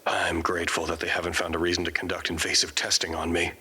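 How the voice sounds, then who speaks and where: A man playing a weary, formal quarantined character, narrating his confinement in measured, articulate audio drama monologue. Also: low voice, monotone, pushed and bright, slightly rough, heavily acted. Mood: resigned, measured.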